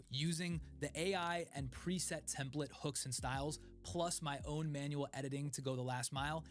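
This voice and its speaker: A young man speaks quickly and brightly into a studio microphone, his voice sitting a little high. He runs through a scripted explainer with an energetic, hand-waving delivery. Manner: fast, energetic, gestures with hands. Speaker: content creator. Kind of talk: scripted talking-head explainer. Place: dim home studio. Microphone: studio mic in front.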